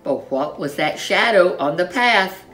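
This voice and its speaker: A woman reads a children's story in a low voice with very lively intonation. She is expressive and leans into dramatic, drawn-out words. Soft music plays underneath.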